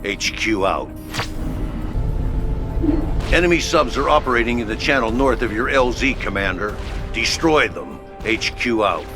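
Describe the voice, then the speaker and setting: Male actor, game headquarters officer, mid-pitched, brisk, businesslike, clipped orders, loud music. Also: animated, lightly acted. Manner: clipped, businesslike radio sign-offs. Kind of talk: scripted video game mission briefing.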